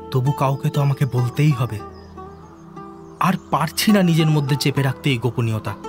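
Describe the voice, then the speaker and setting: A man's voice: mid-range voice, close to an everyday voice. A man acting an obsessed, secretive scientist in a Bengali audio drama, confessional and emphatic. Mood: anguished, secretive. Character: obsessed male scientist, intense, secretive, driven to create life. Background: background music.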